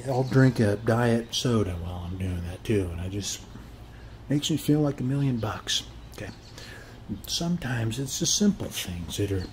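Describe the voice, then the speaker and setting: A man playing a friendly, folksy suburban neighbor in a soft-spoken ASMR roleplay, rambling hesitantly with frequent little check-ins and drifting toward a whisper at times. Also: mid-range voice, a little hiss or hum. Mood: friendly, earnest. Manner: rambling, hesitant, folksy, often adding "okay?".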